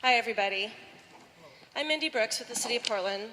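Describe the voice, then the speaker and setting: A woman with a loud voice giving a brisk, friendly informational presentation as city planning staff at a neighborhood meeting. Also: a little high. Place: community meeting room. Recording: reverberant meeting hall, faint room noise.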